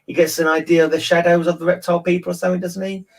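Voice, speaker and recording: A man's voice: sits high, level tone, racing. A man in a casual book discussion, rambling and thinking aloud as he repeats his phrases. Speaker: discussion panelist.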